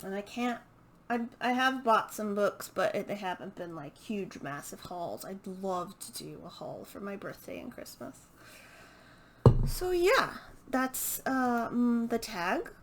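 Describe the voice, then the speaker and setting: A woman chatting casually and unscripted as a book vlogger, hesitant and halting as she thinks aloud. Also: medium pitch, sing-song, background noise. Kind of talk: casual unscripted chat to camera.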